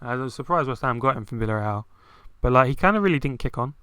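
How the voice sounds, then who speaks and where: A young man chatting casually about football, dropping "like" into nearly every phrase. His voice is bright and very clear. The sound is dry and close, with little room. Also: mid-range voice, lively intonation, fast talker, no room sound. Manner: chatty, many "like" fillers. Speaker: podcast co-host.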